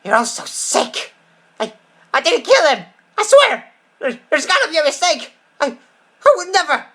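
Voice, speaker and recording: A woman's voice: high voice, sing-song, moderate pace, a bit bright, exaggerated voice. A woman voicing a hot-tempered, resentful male weasel crook who stammers indignantly in an amateur audio drama. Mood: pleading.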